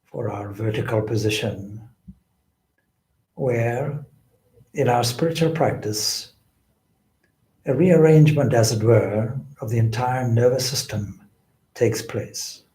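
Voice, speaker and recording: A man leading a guided meditation as a spiritual teacher, with deliberate, measured phrasing and pauses. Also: mid-range voice, dark tone. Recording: echoey room.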